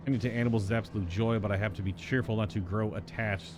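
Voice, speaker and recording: A man voicing an earnest, contented farm worker from a fantasy game, reading the dialogue aloud in a polite, measured manner. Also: lowish voice, fairly even intonation, background noise, close to an everyday voice. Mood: friendly, content. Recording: music behind the voice.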